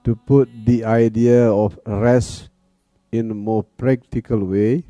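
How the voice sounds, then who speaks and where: A man teaching guided meditation in a calm manner, leaving long pauses between phrases. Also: lowish voice, dry recording. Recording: dull, lo-fi sound. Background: soft music.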